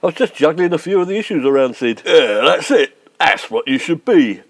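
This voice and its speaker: Man playing a gravelly, pompous English sitcom chap. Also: on the high side, very animated, fairly quick, no room sound. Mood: bantering. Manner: sarcastic, blustering, mock-reassuring. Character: pompous, sarcastic radio sitcom man, mock-sympathetic and snobbish. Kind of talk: old-fashioned British radio sitcom acting.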